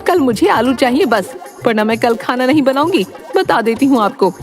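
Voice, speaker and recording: A woman's voice: sits high, animated, moderate pace, faint music behind. A woman voicing an exasperated, nagging village wife in a cartoon story, scolding shrilly. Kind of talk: animated moral-story cartoon acting.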